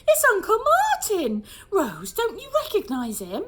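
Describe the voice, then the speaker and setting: A woman's voice: fairly high pitch, very animated, fairly quick, voice pushed up. A woman playing a flustered, emotional mum. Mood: overwhelmed. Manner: breathless, stammering.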